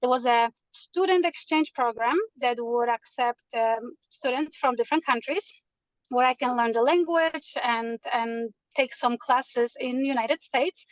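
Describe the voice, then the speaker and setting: High-voiced woman. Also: a little slow, no room sound, highs cut off. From Poland. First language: Polish. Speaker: guest realtor. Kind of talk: interview answers.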